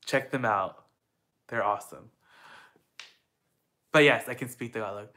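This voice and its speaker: A man's voice: medium pitch, a little slow, sharp tone. A young man from the Philippines chatting casually at home, answering viewer questions in an upbeat way with lots of fillers. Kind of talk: casual Q&A chat.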